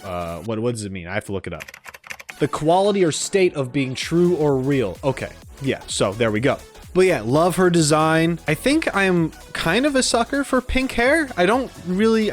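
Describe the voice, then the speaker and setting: A man hosting a casual video game reaction, chatty and rambling with a self-deprecating way of talking. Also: medium pitch.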